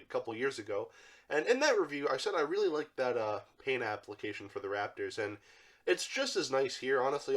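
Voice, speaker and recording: A young man with a congested, stuffy voice from allergies, casually reviewing an action figure and rambling with lots of fillers. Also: mid-range voice. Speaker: toy reviewer, channel host.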